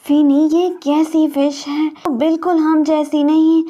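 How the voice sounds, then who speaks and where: A woman's voice: high-pitched, flat delivery, slow, mellow, muffled recording. A woman voicing a worried, protective young father fish in a cartoon, speaking earnestly. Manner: earnest, urgent pleading.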